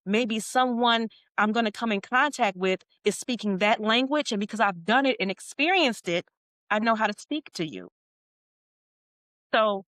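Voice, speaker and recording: A middle-aged woman talks as a podcast guest and leadership coach. Her pitch sits at an ordinary middle height, and she leans on her words with earnest emphasis, coming back to points she has already made. The recording is dry and close, with no room sound. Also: a bit bright, dry recording. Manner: earnest, emphatic, with repeated phrases. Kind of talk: podcast interview.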